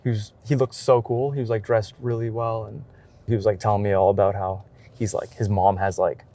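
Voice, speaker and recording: A man chatting casually and informally as a documentary photographer on the road, in a loose, halting way. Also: on the low side, little pitch movement, mellow. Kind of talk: casual vlog chat while out shooting.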